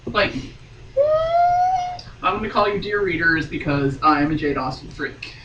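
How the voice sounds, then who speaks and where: A woman's voice: deep voice, measured pace. Echoey, distant woman reading aloud wryly. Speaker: podcast guest reading a novel aloud. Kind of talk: dramatic reading with mocking asides. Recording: roomy, distant mic, faint noise.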